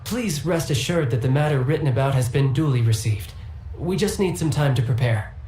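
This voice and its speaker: A man voicing a polite, formal male merchant guild attendant who speaks in a courteous, measured way. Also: mid-range voice, expressive, dark tone, slight background noise, lightly acted. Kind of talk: video game voice acting. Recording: roomy game audio with music.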